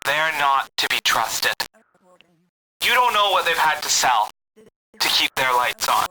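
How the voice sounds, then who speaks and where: A man's voice: a little high, big pitch swings, a little slow, noisy background. A man playing a paranoid conspiracy theorist, giving urgent, emphatic warnings in a radio drama. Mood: wary warning. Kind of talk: radio broadcast monologue in audio drama.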